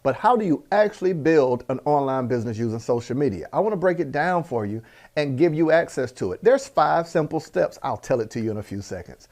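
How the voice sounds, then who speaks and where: Animated Southern American man coaching. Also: on the high side, dry recording. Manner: energetic, animated, folksy with jokes and laughs. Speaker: business coach. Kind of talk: direct-to-camera teaching talk.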